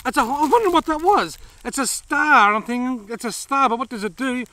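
An Australian man in his forties, chatting casually to camera outdoors as a vlog host, friendly and quick to laugh. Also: very high voice, pushed and bright. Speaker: fishing channel host. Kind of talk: casual vlog reading viewer mail. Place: outdoors in dry open woodland. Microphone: camera close up at arm's length.

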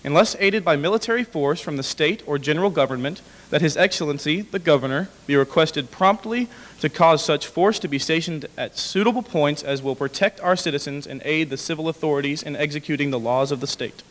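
A man's voice: fairly high pitch, a little fast, fairly bright tone. Young man reading aloud in a reverberant hall. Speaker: dramatic reader. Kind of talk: formal read-aloud of historical documents. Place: large indoor hall with brick walls. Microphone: podium microphone. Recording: reverberant hall, podium microphone.

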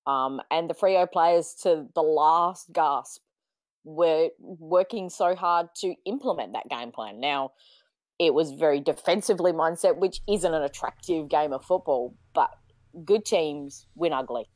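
An Australian woman with a croaky voice, giving thoughtful views in a casual sports chat. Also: deep voice, unhurried, darkish tone, no echo.

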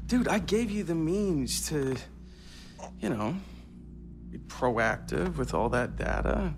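A man's voice: fairly high pitch, animated, slow, gravelly, background noise, heavily acted. A man playing a smug, menacing tech executive in a video game, talking in a condescending, faux-friendly drawl with casual swearing. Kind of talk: naturalistic game cutscene acting.

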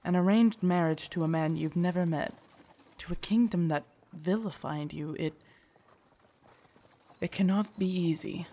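A woman playing a loyal, protective female knight in an audio drama, formal, deferential and earnest, with a husky voice. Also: fairly low pitch, unhurried, mellow. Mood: earnest, comforting. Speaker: voice actor in audio roleplay. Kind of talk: acted immersive audio drama monologue.

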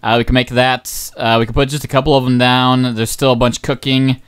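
A young man giving casual, rambling gameplay commentary as a channel host, excitable and swearing often, his voice going hoarse. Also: medium pitch, fairly quick. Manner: rambling, excitable, swears, many ums and uhs.

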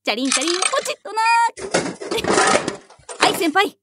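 A loud, chirpy, clueless rookie. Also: female voice, very high-pitched, animated, normal speed, very noisy. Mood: excited. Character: clueless hyper-energetic young female new hire.

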